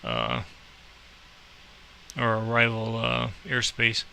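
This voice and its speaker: A man's voice: medium pitch, big pitch swings, takes it slowly, vocal fry, bright, forceful tone, poor audio. A man giving a casual, rambling game tutorial, thinking aloud as he explains and making air traffic controller calls.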